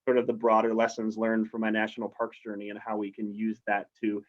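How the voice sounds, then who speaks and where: A man's voice: fairly low pitch, monotone, brisk. A man from the United States, a guest in a casual interview, speaking in an upbeat, persuasive way over a video call. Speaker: interview guest, outdoor adventurer. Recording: slightly dull, lo-fi audio.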